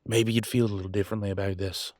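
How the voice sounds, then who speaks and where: A man playing a conflicted werewolf pack alpha in an audio roleplay, arguing emphatically in colloquial, sweary speech with dramatic pauses. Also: fairly low pitch, level tone, a little mellow, dry recording, studio quality, close to an everyday voice. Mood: pleading. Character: Damien, conflicted male werewolf pack alpha, defiant and remorseful. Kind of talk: scripted audio roleplay, one side of an argument.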